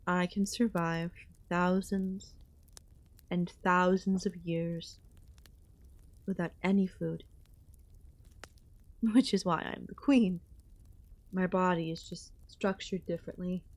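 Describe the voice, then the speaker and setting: Woman acting a slow-spoken, possessive ancient goddess queen. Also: on the low side, steady tone, no echo. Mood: wistful, regal. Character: ancient yandere goddess queen, possessive, lonely, hungry for love. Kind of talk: ASMR audio roleplay.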